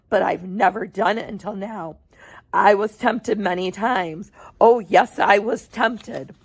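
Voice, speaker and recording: A woman's voice: medium pitch, creaky, husky. A woman voicing an eccentric, cheerful man who owns a chocolate factory, talking in a bouncy, theatrical way.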